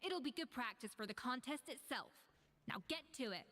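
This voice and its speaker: Exacting, proud young female chef, highish voice. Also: lively intonation, moderate pace, pushed and bright, long reverb tail, full character voice. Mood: exacting, composed. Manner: crisp, haughty, blunt. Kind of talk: anime video game voice acting.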